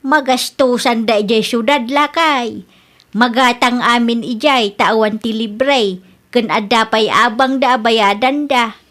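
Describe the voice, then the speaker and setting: A woman's voice: a little high, takes it slowly, fairly bright tone. A woman playing a worried, practical older wife and mother in an amateur radio drama. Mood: concerned.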